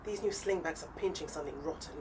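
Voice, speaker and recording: A cheerful and practical eccentric lady voiced by a woman in an audio drama. She talks quickly, with a chatty, fond manner. The recording carries clear noise. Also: low-pitched, darkish tone, lightly acted. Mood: cheerful, brisk. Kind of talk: scripted audio drama acting.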